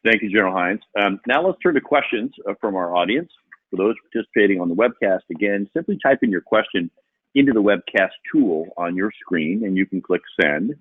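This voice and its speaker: Low, husky male call moderator, tinny line. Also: animated, brisk, no echo. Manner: polite, procedural. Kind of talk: moderating audience questions on an investor call. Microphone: telephone on a conference call. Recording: dry, narrowband tinny phone line.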